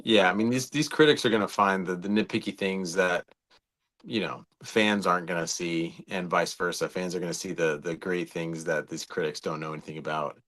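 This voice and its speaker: This is an American man with a somewhat low-pitched voice. He talks as a co-host in casual discussion, laying out his opinions conversationally and with emphasis. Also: steady tone, a little fast, fairly bright tone. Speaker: show co-host.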